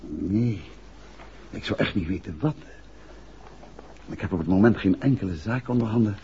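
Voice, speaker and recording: A man acting a calm, caring private detective in a 1960s radio drama, asking measured questions and reassuring. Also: on the low side, a little hiss or hum, lightly acted. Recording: muffled old 1960s radio recording.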